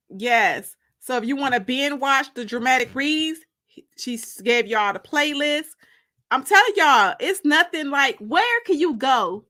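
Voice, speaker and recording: A woman with an American accent and a bright, forward, fairly high voice hosts a casual livestream. She talks to her viewers in loose, colloquial language, with a playful and teasing manner. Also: expressive, a little slow. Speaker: livestream host and author.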